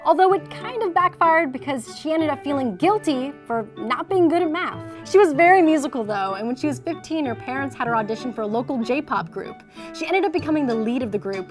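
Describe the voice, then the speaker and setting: A woman's voice: high voice, lively intonation, brisk, music in the background. A woman hosting a comedy series, telling scripted stories to viewers in a tongue-in-cheek way. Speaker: host of a comedy fairy tale series.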